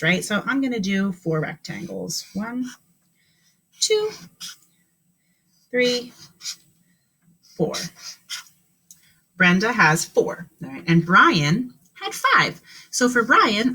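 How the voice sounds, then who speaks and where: A woman's voice: medium pitch, bright, forceful tone, breathy edge, low-quality recording. A woman teaching kindergarten on a live stream, speaking encouragingly to children in simple questions and instructions.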